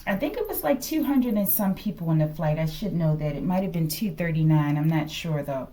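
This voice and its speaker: Woman with lively, swooping pitch thinking aloud. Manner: halting, thinking aloud, often addressing listeners and checking with "okay". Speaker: tarot reader and channel host. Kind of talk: improvised tarot reading, speculative casual talk.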